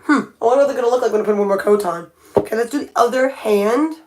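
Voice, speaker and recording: A fairly high-voiced woman talks casually to her viewers while painting her nails at home. She thinks aloud and keeps restarting and correcting herself mid-sentence. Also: quick pace. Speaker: nail art livestreamer. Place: home by a window.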